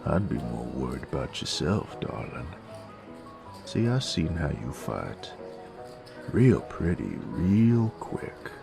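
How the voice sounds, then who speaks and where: A man playing a gruff werewolf bounty hunter in a Western audio drama, with a slow, sardonic Southern American drawl and a gravelly voice. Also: rumbling low, sing-song, music in the background, exaggerated voice. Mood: threatening. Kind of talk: Western audio roleplay acting.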